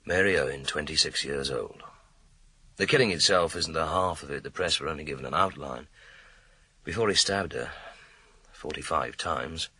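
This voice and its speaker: An actor playing a weary, sardonic police inspector in a radio crime drama, blunt and dry in manner. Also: male voice, a bass voice, normal speed, bright, forceful tone, slightly rough, full character voice. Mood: grim, dry.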